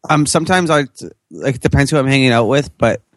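A man's voice: medium pitch, fast, no room sound, clean studio sound. A man in casual podcast chat, teasing his co-host and laughing easily.

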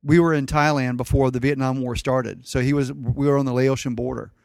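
A man's voice: medium pitch, fairly even intonation, brisk, creaky, darkish tone, dry recording. A middle-aged man telling family stories in a blunt, wry way, a guest in casual conversation. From Colombia. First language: Spanish. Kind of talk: casual storytelling.

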